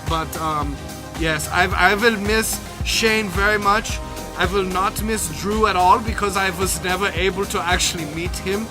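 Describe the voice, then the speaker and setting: A man's voice: high-pitched, normal speed, over music, lightly acted. A man voicing a lewdly earnest German DJ with stilted, formal phrasing and a German accent in an improvised comedy monologue.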